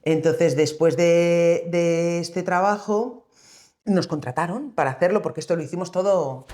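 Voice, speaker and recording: A woman's voice: fairly low pitch, measured pace, mellow. A woman with an accent from Spain talking as an interview guest, telling her story in a reflective, loosely structured way. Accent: Spanish from Spain. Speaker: interview guest, co-founder of a social organization. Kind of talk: conversational interview account.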